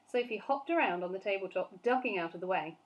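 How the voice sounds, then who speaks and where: A woman teacher reading a children's book aloud, with soft, steady narration between the voices. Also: mid-range voice, quick pace, lo-fi sound. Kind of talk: storybook read-aloud for children.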